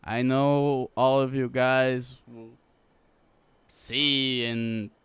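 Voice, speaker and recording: Slow-paced man narrating a game playthrough. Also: medium pitch, flat delivery, fairly bright tone, smooth, dry recording. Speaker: gameplay host. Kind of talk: casual playthrough commentary, echoing game dialogue. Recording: game music behind the voice. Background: video game music.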